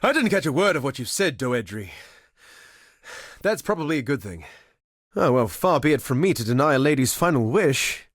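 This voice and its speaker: An actor playing a vain, flirtatious gladiator duelist in a video game, with a theatrical, suave and sardonic delivery. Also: male voice, mid-range voice, big pitch swings, moderate pace, pauses often, no room sound, studio quality. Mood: triumphant, boastful. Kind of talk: scripted game voice lines, theatrical quips.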